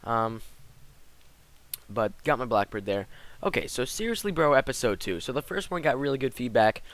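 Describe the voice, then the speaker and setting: A teenage boy giving casual commentary over gameplay, slightly hoarse from a cold, rambling with lots of fillers. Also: medium pitch, a bit bright, no room sound. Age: teens. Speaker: gaming commentator.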